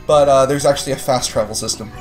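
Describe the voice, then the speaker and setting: A man chats casually over his own video game play, relaxed and easygoing. His voice sits at a middling pitch. Faint game music plays underneath. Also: fast talker. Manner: relaxed, chatty, laughs often. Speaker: let's play player.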